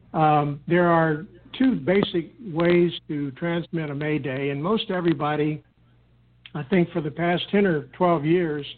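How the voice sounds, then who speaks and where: A man giving methodical, measured instructional explanations as a guest, step by step. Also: fairly high pitch. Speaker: guest explaining radio procedures. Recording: tinny narrow-band phone-like line.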